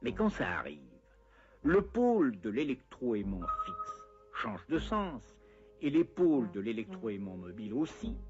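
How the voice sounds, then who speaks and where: A man's voice: medium pitch, sing-song, a little slow, darkish tone, lo-fi sound, faint music behind. A man narrating a scripted educational cartoon in a wry, conversational way, explaining step by step with playful asides.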